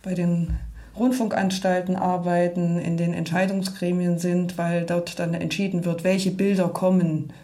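A woman giving a considered opinion in a discussion, deliberate and pausing between phrases. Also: fairly low pitch, flat delivery. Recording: loud music behind the voice. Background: loud music.

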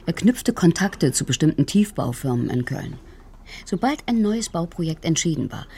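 A woman's voice: sits low, creaky, warm tone, husky, exaggerated voice. An actress playing a self-assured, teasing female investigator with a dry, matter-of-fact manner in a German radio crime drama. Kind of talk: German radio play dialogue.

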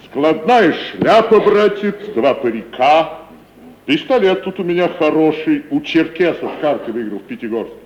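A man's voice: fairly high pitch, big pitch swings, moderate pace, roomy sound. An actor playing a bossy, grandiose wandering male tragedian in a 1950s radio play, pompous, blunt and condescending. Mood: boastful. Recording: dull, faintly noisy 1950s radio recording.

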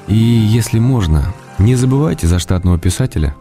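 A man playing an affectionate, gently ironic writer who reads his letters aloud in a radio drama. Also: on the low side, animated, soft background music. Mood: tender. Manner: tender, gently ironic, unhurried. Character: affectionate, teasing male writer writing letters. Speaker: reader of letters in a radio play.

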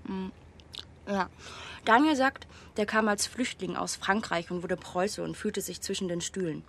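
A woman's voice: mid-range voice, measured pace, fairly bright tone. An actress playing a young, grieving and tense woman in a naturalistic radio drama, talking informally in clipped phrases. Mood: reflective. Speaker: actor in a radio play.

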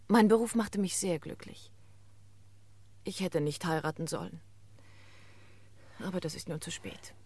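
An actress playing an unhappy, detached widow in a radio crime play, speaking formally and with measured wistfulness. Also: female voice, lowish voice, darkish tone, breathy edge, no echo. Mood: wistful, resigned.

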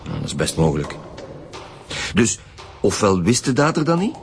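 A seasoned Flemish chief inspector, firm and dryly sarcastic. His voice sits low, and music runs behind him throughout. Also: big pitch swings, normal speed. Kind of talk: radio drama acting.

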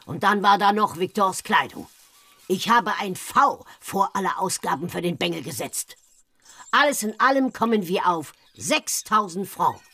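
Coarse, money-grubbing woman of fifty for radio drama: raspy, swooping delivery, blunt and wheedling, close dry sound. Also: mid-range voice, expressive, measured pace, sharp tone, no room sound, full character voice. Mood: wheedling, insistent. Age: 50s. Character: coarse, grasping slum woman in her fifties.

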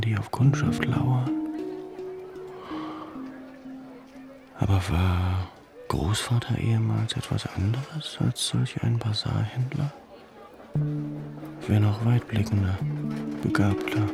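An actor playing an earnest, reflective Armenian gentleman in a radio drama, respectful and brooding. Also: fairly low pitch, creaky.

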